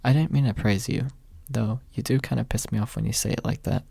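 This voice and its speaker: A man's voice: medium pitch, a little fast, creaky, mellow, husky, no room sound. A man voicing a brash but sentimental young man, casual and teasing, in an ASMR audiobook. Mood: annoyed.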